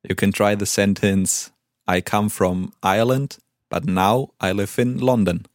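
A man with a fairly low voice teaches a language, setting sentences for a learner and correcting gently. His manner is calm and encouraging, recorded close and dry. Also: a little slow, dry recording. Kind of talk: language lesson dialogue.

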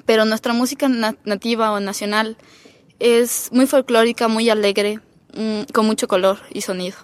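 A woman's voice: fairly high pitch, fairly even intonation, moderate pace, no room sound. Ecuadorian teen girl, thoughtful speech. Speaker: exchange student. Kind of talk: casual chat.

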